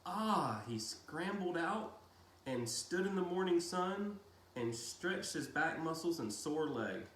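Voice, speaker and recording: A man reading a novel aloud in a deliberate storytelling style with dramatic pauses. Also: on the high side, lively intonation, a bit bright. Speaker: reader of a children's novel read-aloud. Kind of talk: audiobook-style narration with brief casual asides. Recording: roomy echo, faint background noise.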